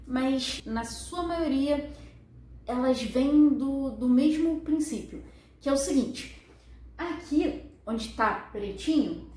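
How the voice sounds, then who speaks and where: High-voiced woman explaining in an echoey room. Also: darkish tone. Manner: friendly, uses many diminutives. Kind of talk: explaining with diagrams.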